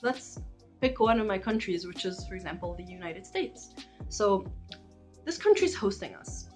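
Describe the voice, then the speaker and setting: Egyptian woman, 20s, vlog host, mid-pitched, chatty, faint music under her voice. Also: big pitch swings, normal speed, a little mellow. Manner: chatty, many likes and ums. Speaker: channel host. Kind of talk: casual talk to camera.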